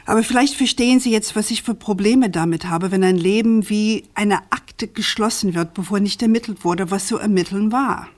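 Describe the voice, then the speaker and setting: An actress plays an earnest woman journalist in a radio crime drama. She has a medium-pitched voice and argues deliberately, articulate and persistent. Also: darkish tone, close to an everyday voice. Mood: earnest, subdued.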